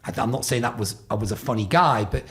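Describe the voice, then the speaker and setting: Gravelly-voiced man hosting a podcast. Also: medium pitch, quick pace. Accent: faint Glaswegian, mostly lost, Stoke-on-Trent upbringing. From Scotland. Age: 40s. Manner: rambling, anecdotal, many restarts and fillers. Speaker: podcast host. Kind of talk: casual conversational interview.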